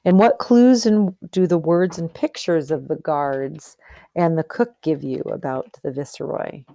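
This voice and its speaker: A woman's voice: low voice, mellow, no room sound, clean studio sound. A woman teacher doing a storybook read-aloud, explaining and posing questions as she goes. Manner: explanatory, asks and answers questions.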